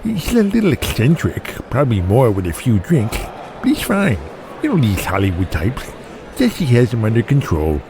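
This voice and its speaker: A man voicing a dismissive, scolding wrestling boss in an audio drama, with exasperated, brisk delivery. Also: medium pitch, huge pitch swings, over music.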